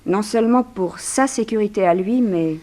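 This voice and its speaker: A charming, curious woman reporter played by an actress from an old French radio serial. Her voice sits at a medium pitch, and she tells things with poise, polish and lively detail. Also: normal speed, close to an everyday voice. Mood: earnest, confiding. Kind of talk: 1960s French radio drama acting.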